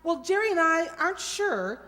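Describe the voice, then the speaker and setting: A woman's voice: very high voice, very animated, moderate pace, bright, forceful tone, full character voice. A woman playing an urgent, persistent amateur-sleuth wife in an old-time radio mystery, emphatic and pleading. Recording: echoey hall with long reverb.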